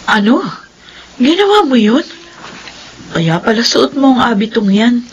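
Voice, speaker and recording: A woman playing a frank, expressive young novice and loyal friend in a Filipino radio drama. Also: on the high side, measured pace, muffled recording. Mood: scolding, incredulous. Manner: blunt, questioning, expressive.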